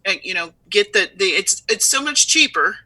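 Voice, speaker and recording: An upbeat businesswoman pitches her product in a relaxed, chatty interview. Her voice is very bright and cutting, with no room echo around it. She talks in plain, homely comparisons. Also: normal speed. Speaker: CEO of a speech software firm, guest. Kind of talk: casual interview over video.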